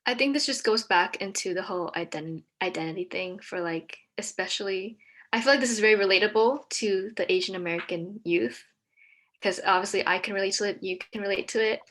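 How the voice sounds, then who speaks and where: A young woman from Texas whose first language is Vietnamese, speaking hesitantly with many pauses as a guest in a casual conversation. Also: medium pitch. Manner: hesitant, many ums, soft-spoken.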